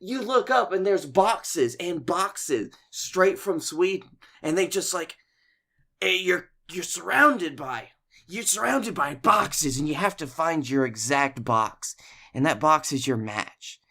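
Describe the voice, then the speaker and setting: A man co-hosting a comedy podcast, telling casual stories in an animated, playfully exaggerated way. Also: a little high, slow pace, gravelly. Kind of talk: casual comic storytelling.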